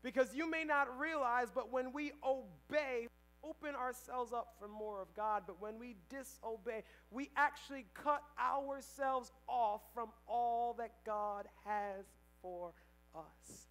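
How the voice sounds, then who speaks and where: A young man preaching a sermon on a church stage through a headset mic, swinging between loud emphatic lines and quiet asides. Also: very high voice, takes it slowly. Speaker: pastor. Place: church stage, large indoor hall.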